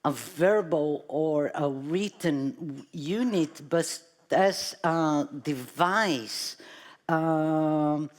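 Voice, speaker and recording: A woman's voice: sits low, extremely slow. A woman from Brazil with a Brazilian Portuguese accent, a native Portuguese speaker, speaking thoughtfully as an artist on a conference panel and sometimes searching for words. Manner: thoughtful, searching for words, self-deprecating humor.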